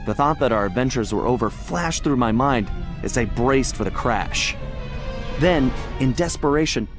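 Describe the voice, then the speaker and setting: An adventurous, easygoing thief voiced by a man tells his story in a video game cutscene with music playing behind him. His delivery is wry and expressive, rising and falling widely and pausing for dramatic effect. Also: mid-range voice, natural voice. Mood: dramatic suspense. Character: smooth-talking male cartoon thief, adventurous, easygoing gang leader. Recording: background music under the voice.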